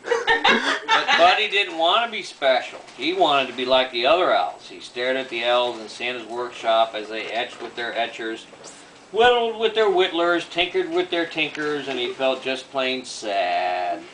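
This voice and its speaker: A man's voice: medium pitch, expressive, a little slow, distant mic. A middle-aged man, a father reading a picture book aloud with playful, mischievous joking. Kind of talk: storybook reading with joking ad-libs. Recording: noisy home recording with clicks.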